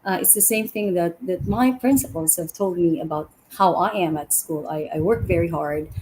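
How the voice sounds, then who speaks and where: A woman's voice: lowish voice, moderate pace, a little mellow, slight background noise, rough recording. A woman from the Philippines who teaches elementary school, speaking earnestly as a panel guest and often repeating a word before going on. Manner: earnest, repeats words when starting sentences.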